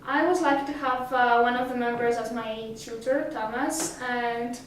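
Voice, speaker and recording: High-voiced teenage girl, echoey room. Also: moderate pace, slight background noise. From Greece. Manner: hesitant, many fillers and restarts. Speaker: summer school student. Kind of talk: casual interview answers. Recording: roomy echo, mic at a distance.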